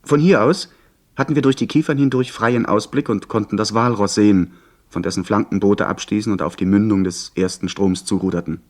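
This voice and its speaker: Earnest, lowish-voiced storyteller played by a man. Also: fairly even intonation, a little fast, close to an everyday voice. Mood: measured. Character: earnest male narrator recounting his sea adventure. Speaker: narrator. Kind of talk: scripted radio play narration.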